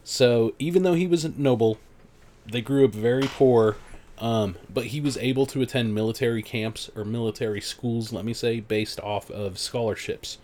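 A man's voice: mid-range voice, fairly even intonation, moderate pace, a little mellow, slight background noise. A young man co-hosting a podcast, chatting loosely and swearing while he lays out the history. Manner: loose, rambling, laughs easily, swears. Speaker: podcast co-host. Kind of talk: casual chat.

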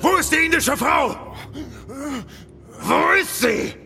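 An actor playing an intense, curt and grimly defiant Victorian knight with a gravelly voice in an audio drama. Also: male voice, very high-pitched, animated, slow, exaggerated voice. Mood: desperate, furious shouting. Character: enraged, obsessed Victorian knight, grim and defiant. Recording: loud dramatic music bed.

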